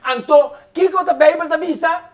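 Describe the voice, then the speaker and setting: A man's voice: extremely high pitch, fairly quick. A man preacher delivering a fervent sermon, repeating phrases as he builds his point.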